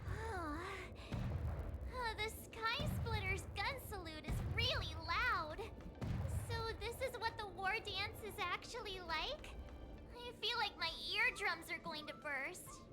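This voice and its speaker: An actress playing an eager but nervous teenage novice sword fighter in an anime-style video game, perky, earnest and expressive. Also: female voice, extremely high pitch, slow pace, loud music behind the voice. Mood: startled.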